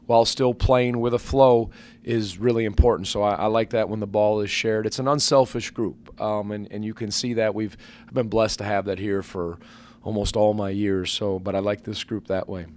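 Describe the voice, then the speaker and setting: A man in his forties, a basketball head coach answering questions at a postgame press conference into a table microphone, measured and earnest with many fillers and restarts. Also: lowish voice, steady tone, darkish tone. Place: press conference room with sponsor backdrop.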